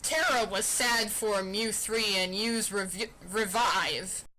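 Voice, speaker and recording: A woman's voice: mid-range voice, slow pace, bright, forceful tone, rough recording. A woman doing an amateur, playful mock-dramatic story reading with casual asides. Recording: faint fan noise behind.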